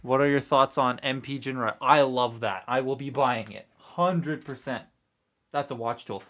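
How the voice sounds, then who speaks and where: A man's voice: mid-range voice, moderate pace, fairly bright tone, slight background noise. Congested man chatting on a livestream. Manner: rambling, chatty, many fillers and restarts. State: congested, recovering from a cold. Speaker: toy review livestream host. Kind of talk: casual live chat while building a kit. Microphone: desk mic close by.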